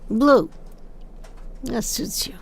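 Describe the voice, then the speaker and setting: Older female seamstress, audio drama, heavy vocal fry, unhurried, rambling, wistful, playful. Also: fairly high pitch, slight background noise, full character voice. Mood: pushy, kindly. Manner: rambling, halting, chatty, with small asides. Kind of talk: naturalistic audio drama acting.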